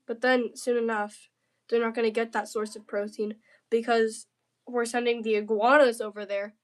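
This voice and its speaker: A woman from the southern United States chats casually on a livestream. Her voice sits a bit higher than most women's. She talks in a playful, slangy way, tossing out jokey banter as she replies to chat.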